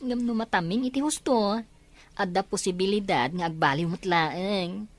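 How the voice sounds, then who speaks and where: A woman's voice: mid-range voice, moderate pace, no room sound, natural voice. Earnest woman played by an actress, dry close sound. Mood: explaining. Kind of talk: radio-style drama acting.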